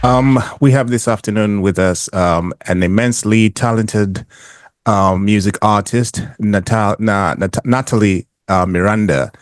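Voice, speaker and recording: Halting man hosting a podcast. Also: lowish voice, no echo, studio quality. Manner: halting, full of stops and restarts. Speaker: podcast host. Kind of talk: casual interview. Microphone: video call.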